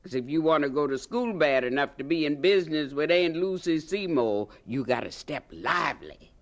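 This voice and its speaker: Older Southern aunt, low-toned, very animated, proud, scolding, folksy, radio drama. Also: female voice, moderate pace. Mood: brisk, instructive. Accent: American South.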